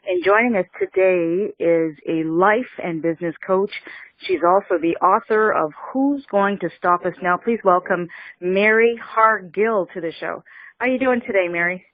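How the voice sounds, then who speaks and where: A woman's voice: medium pitch, moderate pace. A woman hosts a radio show and greets her guest with enthusiastic energy, often restarting her sentences. Her voice comes through a tinny, phone-like line. The sound is dry, with no room echo. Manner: enthusiastic, many fillers and restarts. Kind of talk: radio interview hosting.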